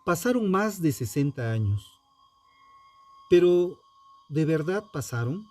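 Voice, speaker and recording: Male true-crime narrator, solemn and dramatic, slightly high-set but mellow tone, unhurried documentary read. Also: very animated. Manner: solemn, dramatic, short measured phrases with pauses.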